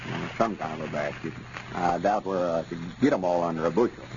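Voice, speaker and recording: An actor playing a pompous, folksy storekeeper with a rural Arkansas accent in a 1930s radio comedy. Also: male voice, sits low, fairly quick. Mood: boastful. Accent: Southern US, rural Arkansas. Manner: folksy, pompous, self-satisfied. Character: boastful, self-important rural storekeeper with lawyer ambitions. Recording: scratchy, muffled 1930s radio recording.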